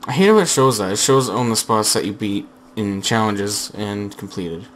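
Slightly hoarse young man, rambling gamer. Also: fairly low pitch, normal speed, slight background noise. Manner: rambling, filler-heavy chatter with frequent restarts. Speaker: gaming channel host. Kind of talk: casual gameplay commentary. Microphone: headset.

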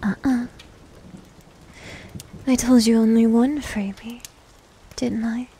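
A needy goth demon girl, played by a woman for an ASMR roleplay, whispers softly in a slightly high, dark and velvety voice. She takes her time, coy and teasing, with a breathy delivery. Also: steady tone, slow pace, heavily acted.

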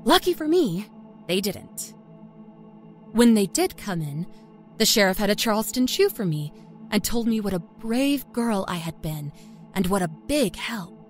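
Woman acting a lively, sly small-town confessor. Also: medium pitch, unhurried. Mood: smug, confiding. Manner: folksy, chatty, smugly confiding. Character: sly, self-satisfied small-town Kentucky woman, born liar. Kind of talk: first-person dark storytelling. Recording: music under the narration.

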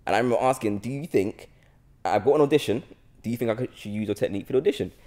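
A man's voice: mid-range voice, racing, a little mellow. A man in his twenties with a London accent, chatting informally and playfully as a podcast host. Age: 20s. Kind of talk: casual chat. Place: bright living room, on a sofa. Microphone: podcast mic on a boom arm.

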